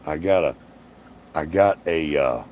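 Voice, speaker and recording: A slow, unhurried man chatting on a livestream, folksy and rambling as he reads out viewer comments. His voice sits very low and sounds tired and strained. A faint hum of background noise stays under him. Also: a little mellow. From Alabama, US. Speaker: livestream host. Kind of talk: casual livestream Q&A chat.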